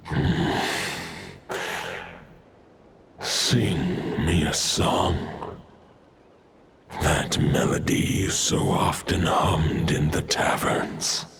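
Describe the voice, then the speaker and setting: A man playing an ancient dying wolf god in a video game, solemn, weary and gravelly, speaking in grave, measured phrases. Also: sits low, background noise, full character voice. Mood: weary, wistful. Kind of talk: dramatic video game acting.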